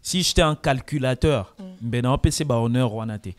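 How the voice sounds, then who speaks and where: A man speaks at a press conference. His voice sits at a middle pitch, and he answers in a measured, reflective way, dropping Lingala phrases between his sentences. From Congo. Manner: mixes French with Lingala, reflective.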